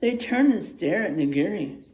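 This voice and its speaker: A woman reading a picture book aloud to children in a teacherly, expressive way, checking in with her listeners as she goes. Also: medium pitch, unhurried, echoey room, low-quality recording. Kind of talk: animated children's read-aloud with asides to listeners.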